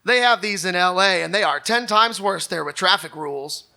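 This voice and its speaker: A man's voice: high-pitched, moderate pace, gravelly, bright, forceful tone. A man hosting a live comedy show, joking through banter and theatrical readings of online comments. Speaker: comedy show host and performer.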